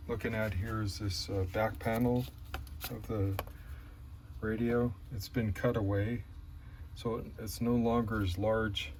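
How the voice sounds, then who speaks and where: Male DIY restorer, low register, casual and halting, thinking aloud, with clear background noise. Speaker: vlogger restoring an old radio. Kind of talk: casual hands-on demonstration commentary.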